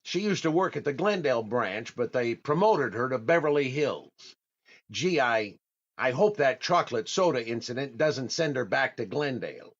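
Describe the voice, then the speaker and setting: A man's voice: mid-range voice, expressive, normal speed. Man playing a dithering radio-comedy shopper, muffled sound. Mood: wistful. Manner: hesitant, dithering. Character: fussy, indecisive, penny-careful male shopper. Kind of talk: old-time radio comedy acting.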